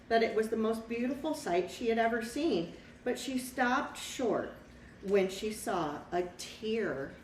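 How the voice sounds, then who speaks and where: A woman reading a picture book aloud to young children, pausing to explain things simply and ask them questions. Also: mid-range voice. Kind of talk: storybook read-aloud with asides to young children. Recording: echoey room, distant mic, faint noise.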